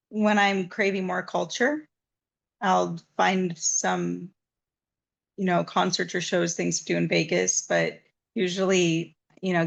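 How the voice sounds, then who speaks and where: A woman's voice: fairly low pitch, gravelly. A woman from Southern Nevada, a massage therapist, telling her story in an interview in an earnest, reflective way.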